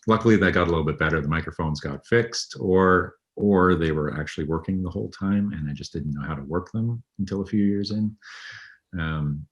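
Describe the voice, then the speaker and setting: A man in his thirties with an extremely deep voice talks through an interview as a veterinary radiologist. He speaks in a low, even, unhurried way, filling pauses with ums. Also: highs cut off. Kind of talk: conversational interview.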